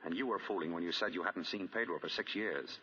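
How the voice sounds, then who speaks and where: An actor playing a shrewd, composed amateur detective in 1940s radio drama style, with probing, deliberate questioning. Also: male voice, low-pitched, pushed and bright, big-room sound, slight background noise. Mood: grave, accusing. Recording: dull, lo-fi 1940 radio broadcast.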